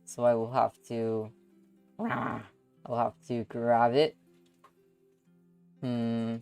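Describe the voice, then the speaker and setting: A woman livestreaming visual novel readings, chatting casually in an excitable, exclamatory way. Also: a bass voice, extremely slow, gravelly, clean tone, soft background music. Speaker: streamer.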